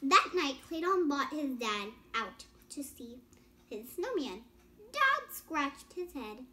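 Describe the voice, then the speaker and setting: A woman's voice: high-pitched, sing-song, slow, pushed and bright. A young girl reading a bedtime story aloud with casual explanatory asides, stumbling over words and rereading phrases. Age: child.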